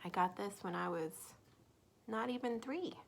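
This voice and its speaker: A woman reading a story aloud to young children, dropping into soft asides and simple questions for them. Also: mid-range voice, unhurried, vocal fry, a little hiss or hum. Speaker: children's story reader, teacher.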